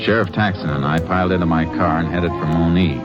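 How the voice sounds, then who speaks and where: A very deep, terse lawman, voiced by a man, who asks short, pointed questions and narrates in flat, matter-of-fact sentences. He comes through a dull, muffled 1950s radio recording. Music often plays loudly beneath his narration. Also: fairly quick, close to an everyday voice. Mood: narrating. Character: steady male lawman, terse and businesslike. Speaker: Texas Ranger and narrator. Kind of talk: 1950s radio drama acting. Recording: dull old radio broadcast.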